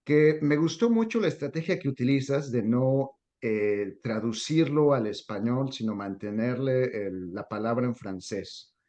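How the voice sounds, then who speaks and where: A man's voice: mid-range voice, a little slow, hardly any pauses, mellow. A man asks his guest questions, hesitating a lot. He repeats words and leans on fillers before he gets to his point. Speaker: interviewer. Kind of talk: interview questions.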